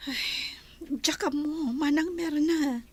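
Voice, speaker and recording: An actress playing a worried, principled wife in an Ilocano radio drama, earnest and reproachful, with an Ilocano accent. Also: female voice, sits high, takes it slowly. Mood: troubled. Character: worried, principled wife confronting her scheming husband.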